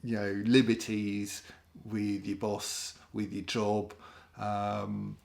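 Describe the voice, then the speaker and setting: A British man with a gravelly, fairly low voice delivers casual opinion commentary. He takes his time, rambling along with a sardonic edge. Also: completely flat delivery, slow, darkish tone. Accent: British English. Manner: rambling, sardonic, often mimics other people in put-on voices. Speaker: host of an opinion monologue.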